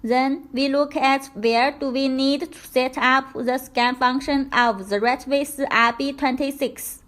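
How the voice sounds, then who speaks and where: A woman presenting a product tutorial, reading scripted instructions in a careful, deliberate step-by-step way. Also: sits high, fairly even intonation, a bit bright.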